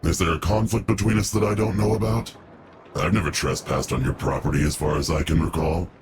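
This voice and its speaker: A man's voice: a bass voice, fairly even intonation, a little fast, heavy background noise. A man voicing a stern, guarded underworld agent in a fantasy game, speaking curtly and formally over prominent music. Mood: wary. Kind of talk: scripted game dialogue.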